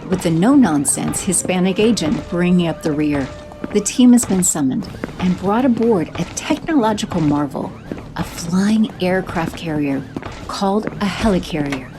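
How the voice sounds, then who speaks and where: A woman giving steady scripted narration for an audio drama. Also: fairly low pitch, background noise. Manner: steady, descriptive.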